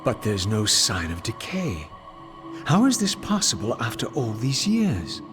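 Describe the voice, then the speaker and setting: An actor playing a bitter, brooding undead wraith in a video game, with grave, theatrical, deliberate phrasing. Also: male voice, on the low side, wildly swooping pitch. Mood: suspicious, bitter. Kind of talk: dramatic video game dialogue and monologue. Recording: music behind the voice.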